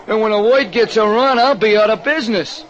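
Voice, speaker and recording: A man voicing a gloomy, excitable working-class American diner owner in 1940s radio style, plaintive and slangy. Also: sits high, a little fast, voice pushed up, lightly acted. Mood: worried. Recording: dull, noisy old radio recording.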